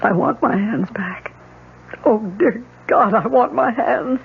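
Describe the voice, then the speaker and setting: A woman's voice: a little high, slow, creaky. An actress playing an anxious, pleading married woman in a 1970s radio drama, hesitant and earnest. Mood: anguished, desperate. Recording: muffled old radio broadcast, faint hiss.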